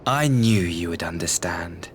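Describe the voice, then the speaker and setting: An actor playing a calm, idealistic noble swordsman in a video game cutscene, speaking in a formal, measured and articulate way. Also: deep voice, a little hiss or hum. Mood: earnest, persuasive.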